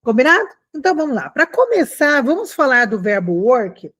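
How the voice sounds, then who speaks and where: A woman with a Brazilian accent giving a conversational online language lesson, encouraging and animated, often checking in with short questions to her students. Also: high-pitched, normal speed. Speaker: online English teacher.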